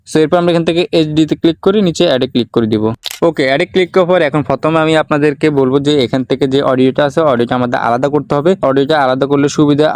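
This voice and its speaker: A man's voice: medium pitch, a little mellow, smooth, no echo. A man narrating a step-by-step tutorial, explaining repetitively and mixing in English words. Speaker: tutorial host.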